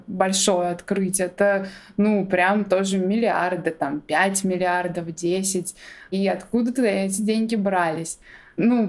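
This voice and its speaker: A woman speaking as an interviewed guest, explaining things informally step by step with some hedging. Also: mid-range voice. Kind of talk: conversational explanatory interview talk.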